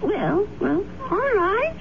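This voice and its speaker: An actress playing a kindly, motherly elderly widow who rents out a room, speaking gently and hesitantly in a 1970s radio drama. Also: very high-pitched, very animated, takes it slowly, gravelly, full character voice. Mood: motherly, warm. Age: older. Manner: hesitant, gentle, homely speech. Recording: dull, noisy old radio broadcast.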